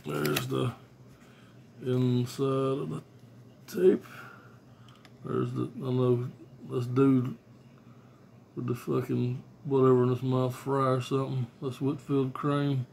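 Middle-aged man, blunt and profane, monotone delivery, faint room hiss, phone at arm's length. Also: mid-range voice, slow, creaky, pauses often. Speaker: music collector vlogger. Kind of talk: casual rambling show-and-tell. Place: small bedroom indoors. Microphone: phone camera at arm's length.

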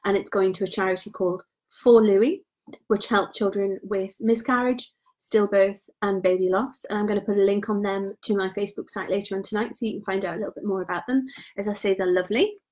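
A woman's voice: mid-range voice, steady tone, brisk, low-quality recording. Chatty woman selling beauty gifts. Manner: chatty and informal, trails off quietly. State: voice going hoarse. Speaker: beauty product consultant. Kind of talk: casual live-stream sales chat.

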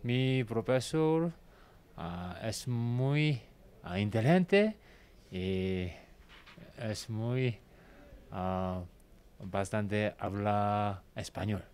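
A male Korean student speaking halting, learner Spanish with a Korean accent in an interview. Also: fairly low pitch, lively intonation, a little mellow, smooth. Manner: halting, searching for words. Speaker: foreign student.

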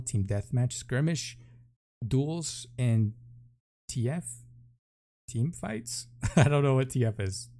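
A man's voice: medium pitch, warm tone. A man reading patch notes aloud as a gaming video host, with offhand casual commentary and thinking aloud between items.

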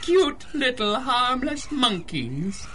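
An actor playing a deranged, gleeful supervillain who commands monkeys, theatrical and taunting, in a 1930s-style radio drama. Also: male voice, very high voice, sing-song, slow, bright, forceful tone, slight background noise, heavily acted. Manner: theatrical, taunting, cackling, swinging from croon to shriek.

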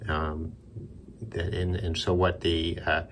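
A man's voice: low-pitched, flat delivery, slow, fairly bright tone, slight background noise. A man giving a casual, unscripted radio hobby demonstration, thinking aloud a little hesitantly. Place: indoors in a concrete block building.

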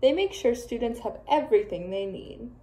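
Young lady teacher doing storytime, mellow highish voice, really expressive, little playful asides. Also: normal speed. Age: young adult. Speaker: teacher reading to children. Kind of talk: children's picture book read-aloud.

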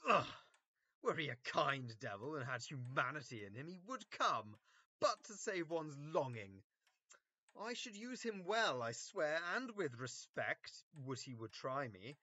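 An actor playing a pompous, self-absorbed gentleman in an early modern comedy, theatrical and declamatory. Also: fairly high pitch, big pitch swings, measured pace, no echo, highs cut off, heavily acted. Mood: eager, indignant.

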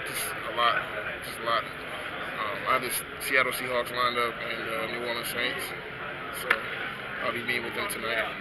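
A young man in his twenties, a football prospect, answering press questions in a soft-spoken, halting way with many restarts. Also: mid-range voice, monotone. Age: 20s. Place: busy indoor event hall. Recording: loud background noise at an event.

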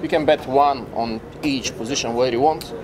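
A man in his thirties, a casino host, patiently explaining a table game in halting, non-native phrasing. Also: medium pitch, background noise. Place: casino floor on a cruise ship.

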